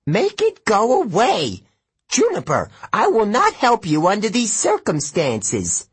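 A man's voice: sits high, wildly swooping pitch, takes it slowly, slightly rough, no echo, pitched above the performer's own voice, exaggerated voice. A man voicing a fussy, cowardly, very old and fat male satyr, whiny and indignant. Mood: panicky.

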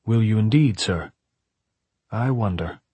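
A man's voice: fairly low pitch, expressive, unhurried, warm tone, husky, no echo. Guy doing a creepy polite ghost butler, mild and proper, insinuating, very dry close sound. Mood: surprised, condescending. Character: mild, well-bred male ghostly servant, coldly polite. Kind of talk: audiobook character voice.

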